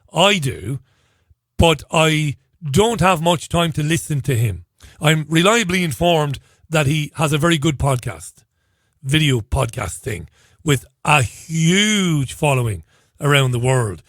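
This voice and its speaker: Hoarse male talk host, dry close mic, chatty and wry, cuts himself off mid-thought. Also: on the high side, fairly bright tone, no room sound. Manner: chatty, wry asides, self-interrupting. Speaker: radio show host. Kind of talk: casual talk radio monologue.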